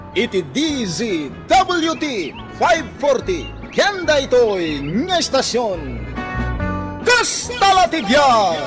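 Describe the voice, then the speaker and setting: A woman announcer reading a theatrical scripted introduction to a radio drama in a declamatory manner. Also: a little high. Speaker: programme announcer. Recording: faint music bed.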